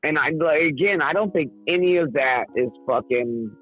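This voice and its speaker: A man's voice: on the high side, moderate pace. Male call-in guest, profane and blustery, voice worn from singing, phone-quality audio. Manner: profane, blustery, easily riled. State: strained voice from singing. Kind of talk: casual comedic banter. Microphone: remote call. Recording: tinny phone-like call line.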